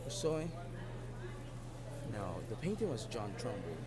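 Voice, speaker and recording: A man's voice: lowish voice, very animated, unhurried, a loud crowd. A man giving casual, unscripted answers as a quiz contestant. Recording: very noisy public recording, clicks.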